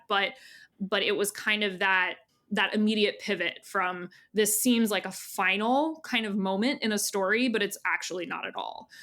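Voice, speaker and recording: Bright, clear-cut woman explaining in conversation. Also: medium pitch. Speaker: magazine editor, interview guest.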